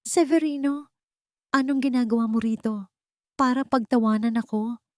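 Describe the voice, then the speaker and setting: A woman's voice: fairly high pitch, fairly even intonation, normal speed, darkish tone, dry recording, studio quality, voice pushed up, lightly acted. A woman voicing a wronged, steely male tycoon in an audio drama, curt and commanding. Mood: bitter, suspicious.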